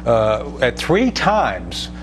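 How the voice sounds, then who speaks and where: A man's voice: mid-range voice, huge pitch swings, very slow. American man explaining emphatically over a hum. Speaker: guest commentator, retired army officer. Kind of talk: live TV news interview commentary. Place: TV news studio. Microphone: lapel mic.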